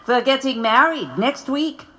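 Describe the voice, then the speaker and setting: A man's voice: very high-pitched, bright, forceful tone, slight background noise, full character voice. An actor playing a sardonic, intellectual male anarchist prisoner in an audio drama, with a wry, lecturing way of talking.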